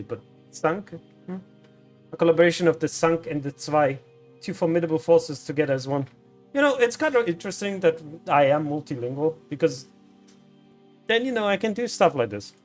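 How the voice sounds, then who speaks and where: A man from Brazil whose first language is Portuguese, chatting rambly and swearing casually while streaming a game. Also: mid-range voice. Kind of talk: casual live gameplay chat.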